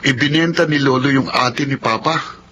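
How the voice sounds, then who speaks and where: A man's voice: mid-range voice, normal speed, sharp tone, lightly acted. A man playing a mild, helpful young Filipino son in a radio drama. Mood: curious, eager. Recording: dull lo-fi sound with faint noise.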